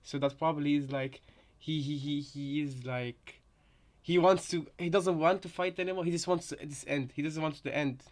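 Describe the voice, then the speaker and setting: Higher-voiced man, tentative reaction chat. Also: fairly even intonation, moderate pace. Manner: tentative, repetitive, rambling. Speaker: co-host reacting to game voice lines. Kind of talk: casual reaction commentary.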